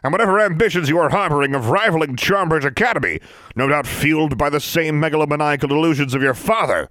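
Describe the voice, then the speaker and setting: A pompous, sneering official, put on by a man, looking down on everyone in a raised, nasal pitch. He speaks with lofty disdain for a storybook, recorded dry. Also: quick pace, a bit bright, no echo, natural voice.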